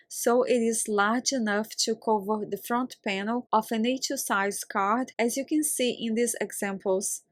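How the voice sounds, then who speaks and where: A young woman whose first language is Portuguese, reading a calm, careful voice-over for a craft video she hosts. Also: fairly high pitch, no echo. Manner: calm, careful, evenly read narration. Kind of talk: scripted product voice-over.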